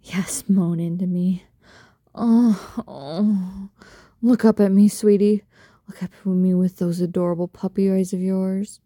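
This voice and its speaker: A woman speaking in an intimate adult roleplay style straight to the listener, soft, breathy and coaxing, full of doting pet names. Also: lowish voice, level tone, normal speed, no room sound, studio quality. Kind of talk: intimate erotic roleplay audio, addressing the listener.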